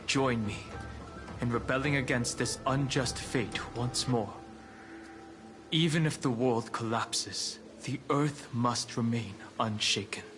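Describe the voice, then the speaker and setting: An actor playing an immortal dragon scion in a video game, composed and resolute, speaking in a measured, formal, stately way. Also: male voice, mid-range voice, a bit bright. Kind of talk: scripted video game voice acting. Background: game music.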